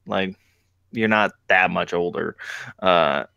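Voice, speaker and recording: Low-voiced hesitant young man. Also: takes it slowly, creaky, sharp tone, clear, resonant tone, no room sound, lo-fi sound. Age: 20s. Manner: hesitant, lots of restarts and fillers. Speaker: podcast co-host. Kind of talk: casual podcast chat.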